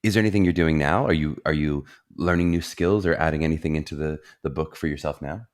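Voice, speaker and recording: Earnest male podcast host, extremely deep voice, reflective. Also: quick pace. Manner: reflective, earnest, many fillers. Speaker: podcast host, theater program director. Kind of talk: casual podcast interview.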